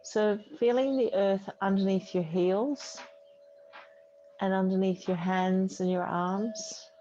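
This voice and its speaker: A woman yoga teacher leading a guided relaxation meditation in a soothing, unhurried way with long pauses. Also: medium pitch, warm tone. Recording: dull muffled audio, faint background noise.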